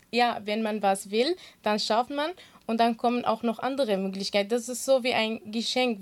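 Higher-voiced woman, native Kurdish speaker. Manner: earnest, speaks German as a second language with non-native grammar. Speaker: interview guest, swimming teacher and social media creator. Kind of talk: conversational interview answers.

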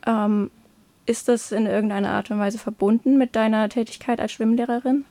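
A woman's voice: fairly high pitch, level tone, normal speed, dark tone, no room sound. A woman interviewing a guest, asking friendly, prompting questions.

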